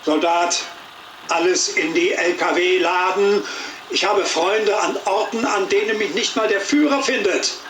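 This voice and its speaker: Villainous Nazi officer, furious and bitter, echoey space with faint engine noise, game cutscene. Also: male voice, sits high, a little slow, fairly bright tone. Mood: commanding, defiant. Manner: bitter, commanding. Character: furious, self-serving Nazi villain commander. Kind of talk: cinematic war game dialogue.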